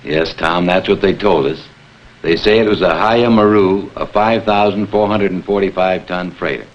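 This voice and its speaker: A man's voice: sits low, normal speed, slight background noise. A middle-aged man, a naval officer, answering as a guest in a formal 1950s television interview. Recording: dull 1950s TV soundtrack.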